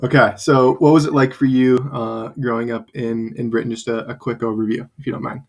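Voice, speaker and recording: A man interviewing as a student, polite and filling pauses with many ums and uhs. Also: medium pitch, level tone, vocal fry, a little mellow. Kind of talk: scripted interview questions over a call.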